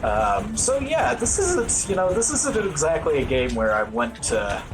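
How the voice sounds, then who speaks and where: A man's voice: a little high, very animated, a little slow, rough recording. A man giving casual live speedrun commentary, explaining game mechanics in a hesitant, rambling way. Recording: game music behind the voice. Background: video game music.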